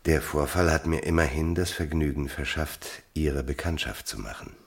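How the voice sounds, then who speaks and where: A man's voice: steady tone, moderate pace, slight background noise. An actor playing a suave, ruthless landowner in his thirties, a self-assured seducer, in a radio drama. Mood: smoothly flattering. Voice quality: very deep, husky.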